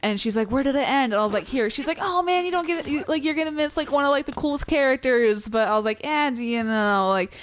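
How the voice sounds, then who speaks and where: A woman's voice: high-pitched, fast, few pauses, slight background noise. A young woman from the Pacific Northwest with an American accent, chatting casually over gameplay, acting out quotes in her stories and swearing freely. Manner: animated storyteller, acts out quotes, swears casually. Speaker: co-host of a gameplay let's play.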